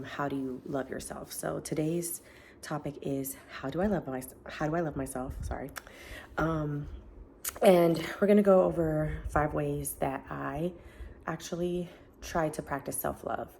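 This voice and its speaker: A woman with a deep voice talking casually to camera in an earnest, encouraging way, with many fillers. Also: fairly even intonation, unhurried, vocal fry, darkish tone, a little hiss or hum. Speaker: self-help vlogger. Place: family home, indoors.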